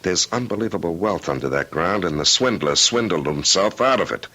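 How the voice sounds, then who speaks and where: Radio mystery private eye: husky but forceful, wry, world-weary, always probing. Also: male voice, low-pitched, lively intonation, a little fast, heavily acted. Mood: frank, wry. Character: gruff, probing, wry male private investigator. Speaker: actor playing a detective. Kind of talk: old-time radio drama acting.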